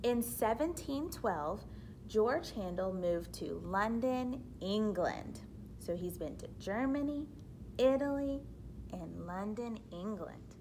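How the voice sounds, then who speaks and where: Slow, lively woman reading to children. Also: mid-range voice, a little hiss or hum, poor audio. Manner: simple teacherly explanations, expressive reading. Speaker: reader of a children's book. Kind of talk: read-aloud storytelling with explanatory asides for children.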